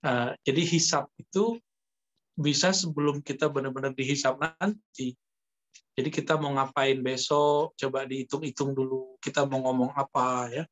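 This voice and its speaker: A man giving a conversational Islamic lecture as a preacher, explaining in a halting way with pauses. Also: mid-range voice, fairly even intonation, fairly bright tone. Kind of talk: religious lecture teaching from a book, conversational. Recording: dull lo-fi lecture recording.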